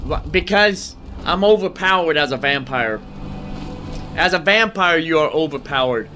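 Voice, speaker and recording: A man with a somewhat high voice chats casually as he plays a video game. He thinks out loud, wandering along and circling back to repeat phrases. There is clear, steady background noise under his voice. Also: normal speed. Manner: rambling, thinking aloud, often repeats phrases. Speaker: gaming streamer. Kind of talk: casual solo gameplay commentary.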